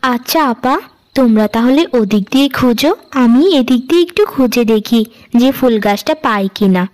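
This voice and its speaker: A woman's voice: on the high side, normal speed, a little mellow, pitched below the performer's own voice, close to an everyday voice. A woman voicing a trusting, timid youngest sister in a cartoon moral tale, earnest and pleading. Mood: trusting, eager.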